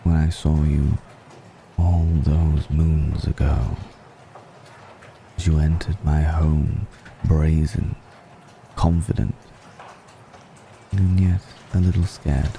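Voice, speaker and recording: A man playing an ancient, suave vampire noble in an intimate ASMR roleplay, speaking formally and deliberately with long pauses in a gravelly voice, seductive and affectionately amused. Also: flat delivery, dark tone, a little hiss or hum, full character voice. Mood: tender, admiring. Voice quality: very deep, gravelly.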